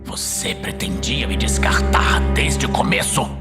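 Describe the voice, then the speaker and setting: A man's voice: on the low side, unhurried, loud music behind the voice, lightly acted. A man voicing a proud, bitter orc warlock with a snarling, scornful manner in a fantasy audio series. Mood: indignant. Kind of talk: dramatic fantasy audiobook acting.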